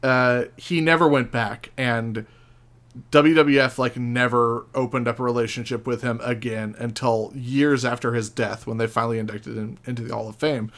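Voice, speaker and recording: A man in a casual podcast discussion, recounting stories in an animated, profane way as a guest. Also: mid-range voice, moderate pace.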